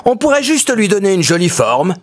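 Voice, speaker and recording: A man voicing a busy, kindly wise owl tailor in a children's animated tale, theatrical and encouraging. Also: high-pitched, moderate pace.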